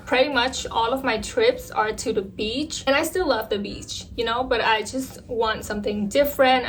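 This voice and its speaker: A Vietnamese woman in her twenties, chatting excitedly and poking fun at herself while packing at home, filmed on a phone. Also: fairly high pitch. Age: 20s. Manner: chatty, excitable and self-mocking. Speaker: vlogger. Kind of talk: casual vlog chat.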